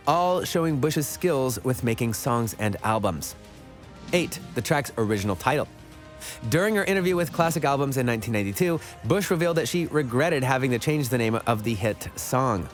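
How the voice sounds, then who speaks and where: Man narrating a countdown over music. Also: medium pitch. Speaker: narrator of an online list video.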